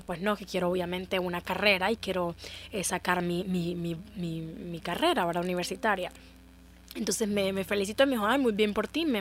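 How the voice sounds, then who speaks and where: A young woman from Central America recounts her own experiences in a conversational podcast monologue. She has a medium-pitched voice and often turns to her listeners, acting out remembered exchanges. A slight hum sits in the background. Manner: animated retelling, addresses listeners often, acts out dialogue. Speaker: podcast host. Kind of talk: personal storytelling monologue.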